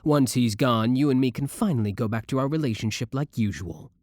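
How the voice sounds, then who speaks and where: A man's voice: mid-range voice, fairly quick, darkish tone, no echo, studio quality, natural voice. A young man acting in an audio roleplay as a clingy, obsessive boyfriend, theatrically doting and smug, scornful of his rival. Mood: insistent.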